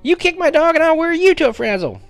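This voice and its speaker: A man's voice: very high-pitched, very animated, fast, gravelly, faint music behind, pitched above the performer's own voice, full character voice. A man voicing a fierce little boy in a storybook reading, hollering insistently. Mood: fierce, defiant.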